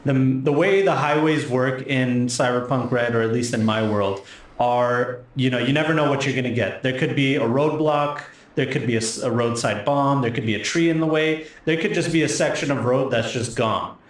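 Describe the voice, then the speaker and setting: A man's voice: medium pitch, moderate pace. A man running a tabletop game as game master, explaining and narrating in a casual, conversational way. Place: indoor room with echo. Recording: echoey room, faint background noise.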